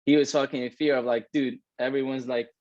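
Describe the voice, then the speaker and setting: A man's voice: medium pitch, little pitch movement, a little fast, darkish tone, no room sound. Fields: man in his twenties, from Iran, casual swearing talker, dull dry recording. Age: 20s. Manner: loose, swearing, lots of "like" and "right?". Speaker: co-host. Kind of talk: casual chat.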